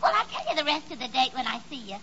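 1940s radio comedy: vain, dim young woman, shrill-high, swooping delivery, noisy old recording. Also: big pitch swings, racing, dull audio. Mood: chatty. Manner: brash, gabby.

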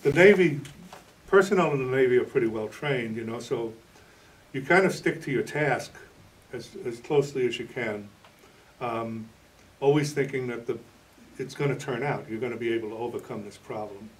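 A man in his sixties explaining things as a television interview guest, in a halting, measured way. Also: medium pitch, distant mic, poor audio. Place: indoor TV studio, green screen.

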